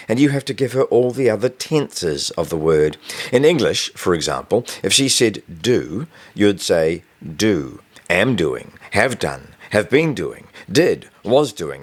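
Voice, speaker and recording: Deep-voiced man narrating with lively intonation. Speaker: author reading own novel excerpt. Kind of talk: scripted audiobook narration.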